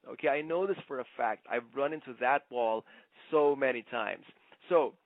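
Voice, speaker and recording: A man giving an instructional sales presentation like a trainer, conversational but emphatic, often checking in with a quick "okay?". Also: mid-range voice, gravelly, no echo. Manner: conversational, punctuates points with "okay?". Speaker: network marketing trainer. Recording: muffled, narrow phone-like sound.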